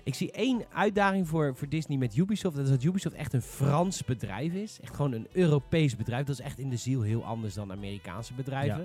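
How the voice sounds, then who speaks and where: A man's voice: medium pitch, expressive, brisk, few pauses, darkish tone, soft background music. A man with a Netherlands Dutch accent hosting a casual panel podcast, opinionated and emphatic. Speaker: podcast host. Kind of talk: casual panel discussion about games.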